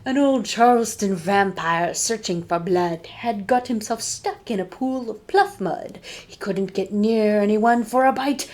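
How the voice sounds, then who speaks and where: A woman reading a storybook aloud to children as a librarian, dramatic and dropping to hushed tones for suspense. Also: mid-range voice, measured pace, hardly any pauses.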